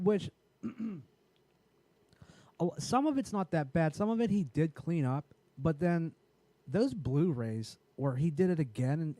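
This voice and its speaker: A man with a raspy voice in casual, profane podcast chat, rambling and often restarting his sentences. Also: mid-range voice, dark tone, dry recording. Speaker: podcast co-host.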